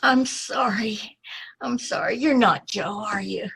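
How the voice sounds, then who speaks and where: A woman acting a timid, polite young woman in an old-time radio play, speaking hesitantly and apologetically in a breathy, husky voice. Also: mid-range voice, slow pace, fairly bright tone, slight background noise. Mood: timid, apologetic. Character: timid, polite young woman seeking her lost brother.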